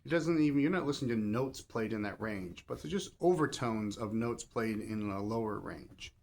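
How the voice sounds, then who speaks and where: A man giving a casual, off-the-cuff review as a shop host, rambling and pausing mid-thought to rephrase. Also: on the low side, expressive. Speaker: record shop seller and host. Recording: clear background noise and ambience.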